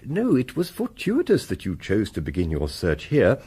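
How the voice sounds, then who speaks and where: Casting: effusive English country clergyman, Victorian period drama, lowish husky voice, genteel and talkative. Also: wildly swooping pitch, moderate pace, mellow, full character voice. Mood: confiding, pleased. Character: genial, loquacious Victorian country rector, keen antiquarian. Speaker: actor in an audio drama. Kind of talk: period radio drama acting.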